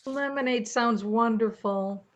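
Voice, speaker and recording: A woman's voice: a little high, measured pace, lightly acted. Woman playing a polite, firm grandmother, dull recording. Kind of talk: amateur radio play acting.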